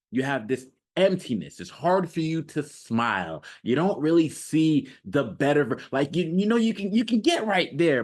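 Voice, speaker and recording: A man speaking as a self-improvement coach in a casual motivational monologue, emphatic and repetitive, addressing the listener directly with rhetorical questions. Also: medium pitch.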